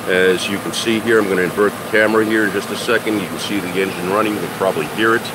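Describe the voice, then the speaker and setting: An older man talks in a rambling, casual way, his voice a bit low and stuffed up from a cold. He speaks into a phone camera. A car engine runs loudly right behind him the whole time. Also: fairly even intonation, racing. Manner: rambling and chatty, with many "uh"s and restarts. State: congested from a head cold. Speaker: car maintenance livestream host. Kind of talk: casual livestream chat with viewers. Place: home garage or driveway beside a running car. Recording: loud engine noise behind.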